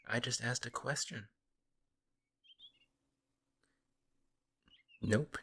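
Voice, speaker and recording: A man's voice: low-pitched, full character voice. A man playing a friendly, teasing, protective werewolf in an ASMR audio roleplay, talking casually and playfully to the listener.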